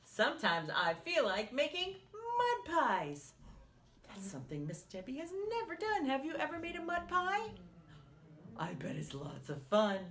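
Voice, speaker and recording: A woman reading a picture book aloud to young children as a story time host, expressively, often putting questions to the listeners and pausing for their answers. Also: fairly high pitch, fairly bright tone.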